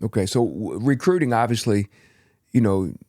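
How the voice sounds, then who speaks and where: A man's voice: fairly low pitch, lively intonation, normal speed, mellow, no echo, clean studio sound. A man hosting a faith-centered sports podcast, asking long, rambling conversational questions of his guest. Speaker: podcast host. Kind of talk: faith-focused sports interview.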